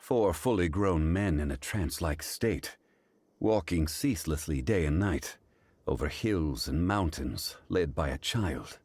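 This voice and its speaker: A man voicing a composed, dignified male consultant in a video game, speaking in a measured, formal way. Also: rumbling low, expressive, a little mellow, exaggerated voice. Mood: grave.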